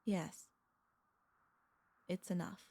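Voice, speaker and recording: A woman playing a possessive, doting royal vampire mistress in an intimate ASMR audio roleplay, speaking in a tender, teasing, halting way with a creaky voice. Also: dark tone. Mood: vulnerable, tender. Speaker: voice actor and vtuber.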